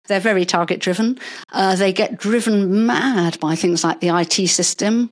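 An older woman, a retired paediatrician, talking through her working life in a measured, explanatory way, with stories along the way. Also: mid-range voice. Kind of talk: conversational interview.

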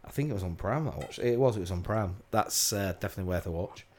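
A man's voice: low voice, animated, fast talker. A man in his thirties co-hosting a podcast, talking in an easygoing, rambling way with fillers. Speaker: podcast co-host. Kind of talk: casual chat.

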